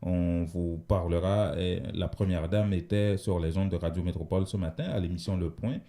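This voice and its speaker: A male radio host with a low, dark voice presents the news conversationally. He explains each item as he goes, pausing now and then to find his phrasing.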